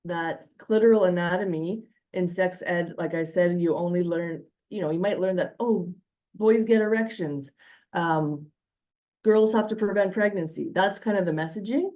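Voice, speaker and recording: Mujer que presenta con voz cálida y grave. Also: female voice, lowish voice, a little mellow, muffled recording. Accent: Canadian. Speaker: physician presenter. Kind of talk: educational slide presentation.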